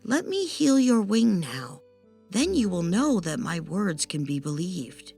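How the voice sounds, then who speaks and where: A woman voicing a small, gentle fairy in a storybook tale, pleading and earnest, loyal to her friend. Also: on the low side, big pitch swings, unhurried, gravelly, soft background music, voice pushed up, exaggerated voice. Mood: earnest, reassuring. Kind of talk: storybook character voice.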